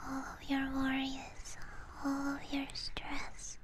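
Slow, whispering woman doing ASMR. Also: sits high, completely flat delivery. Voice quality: soft breathy whisper. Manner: soothing, gentle, reassuring. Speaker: ASMR streamer. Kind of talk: whispered ASMR guided relaxation.

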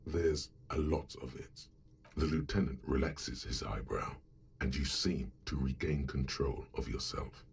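An actor voicing a grave inner voice that narrates a detective's thoughts in a role-playing game, measured and literary. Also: male voice, a bass voice, vocal fry, slightly rough, exaggerated voice. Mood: ominous, wry. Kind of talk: scripted second-person narration.

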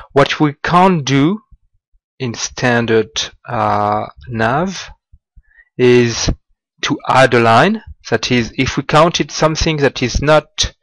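Slow, halting man demoing software. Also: medium pitch, no echo, low-quality recording. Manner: slow, halting, with non-native grammar slips. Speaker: software demonstrator. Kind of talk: screen-recorded software walkthrough.

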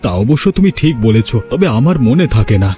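A village husband acted by a man talks quickly in a soft, mellow tone of middling pitch. He is chatty, coaxing and concerned, in the manner of a cartoon fable. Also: animated, soft background music, close to an everyday voice. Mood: placating. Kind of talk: Bengali cartoon folk-tale acting.

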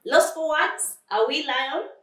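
A woman's voice: very high voice, animated, unhurried, sharp tone, echoey room, far from the mic, voice pushed up, full character voice. A woman voicing a snappish, taunting bully crocodile in a storybook reading. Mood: sneering.

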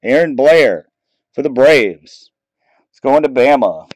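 A man talks slowly and easily as he runs through pack after pack, calling out names and teams in a relaxed, chatty way. His voice sits at an ordinary middle pitch. The sound is dry and close, with no room echo, from a camera mic on a table. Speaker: card break host. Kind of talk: casual commentary while opening trading card packs.

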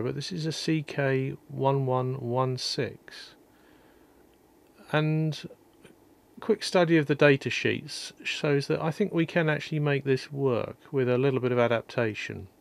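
A man calmly explaining an electronics repair at his workshop bench, step by step, with frequent ums and uhs. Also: mid-range voice, unhurried, vocal fry. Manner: calm, methodical, many ums and uhs. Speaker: electronics restorer. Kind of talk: technical repair walkthrough.

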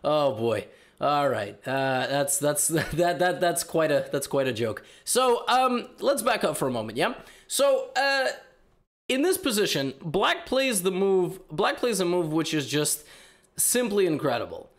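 A young man with a slightly hoarse voice from being sick, giving animated, joking commentary on chess games in a small room. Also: fairly high pitch, measured pace. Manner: animated, joking, hesitant fillers. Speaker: chess video host.

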